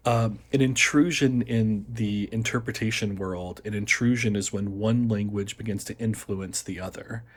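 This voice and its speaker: An American man, a guest on a podcast, chatting casually and explaining things in a measured way. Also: fairly low pitch, fairly even intonation. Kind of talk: casual chat.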